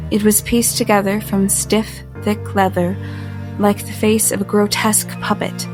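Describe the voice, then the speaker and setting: A woman acts an uneasy but resolute young heroine of an audio drama, over prominent music. Her mid-pitched voice is measured and descriptive. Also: flat delivery, close to an everyday voice, poor audio. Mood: measured, foreboding. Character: apprehensive but resolute female narrator-heroine. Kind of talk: first-person audio drama narration. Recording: music under the voice.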